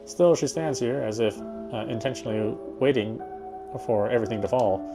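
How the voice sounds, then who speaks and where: A man's voice: mid-range voice, normal speed, creaky, over music. A man reading a first-person game story aloud, now and then stumbling over a word and repeating it.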